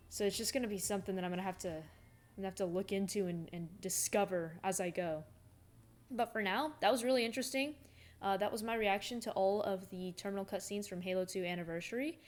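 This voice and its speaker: Female gaming reactor, mid-pitched, casual, thinking out loud in loose rambles, faint music bed. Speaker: reaction video creator and gamer.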